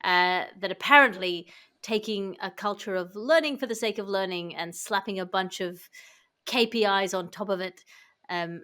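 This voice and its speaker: A woman's voice: mid-range voice, fairly bright tone. A woman hosting a comedy news podcast, chatting with her guests in an unscripted comic style. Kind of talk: unscripted comic panel chat.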